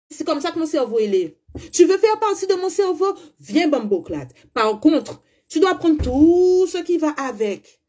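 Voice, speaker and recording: A woman's voice: high-pitched, a little mellow. A woman from the French Caribbean, a spiritual coach speaking bluntly and emphatically to her followers, firing off rhetorical questions. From French Caribbean (Guadeloupe, Martinique). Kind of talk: monologue to followers.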